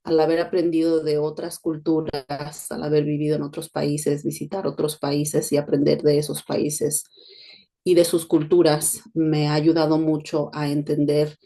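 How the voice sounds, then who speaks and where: Woman from Mexico, neutral Spanish accent, low even voice, earnest podcast monologue. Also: moderate pace. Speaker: podcast host, education consultant.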